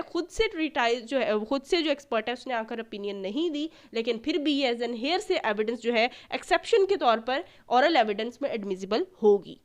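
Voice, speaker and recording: High-pitched woman lecturer, Pakistani accent. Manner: didactic, repeats key phrases and checks understanding. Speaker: law lecturer. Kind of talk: explanatory lecture with examples.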